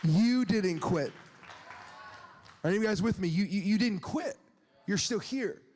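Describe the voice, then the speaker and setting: High-voiced young man preaching in a hall. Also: slight background noise. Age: 20s. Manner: conversational, animated, with quick asides and laughs to the crowd. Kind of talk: sermon.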